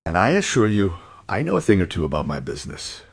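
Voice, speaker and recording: An actor playing a smug, suave and evasive violin dealer and smuggler in a radio play. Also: male voice, on the low side, wildly swooping pitch, fast, breathy edge, no echo, full character voice. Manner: suave, self-assured explaining.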